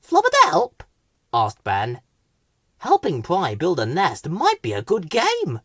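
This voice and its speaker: A woman reads a children's story in a low voice with hugely varied pitch. She takes her time over dramatic phrases. The recording is very dry. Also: huge pitch swings, takes it slowly, breathy edge, no room sound. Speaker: storybook reader. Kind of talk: expressive scripted children's story narration.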